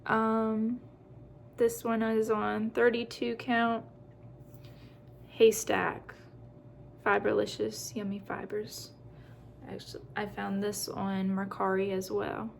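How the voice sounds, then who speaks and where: A woman's voice: medium pitch, monotone, takes it slowly, creaky, slight background noise. A woman chatting casually through a craft show-and-tell as host, in a rambling way with self-deprecating asides.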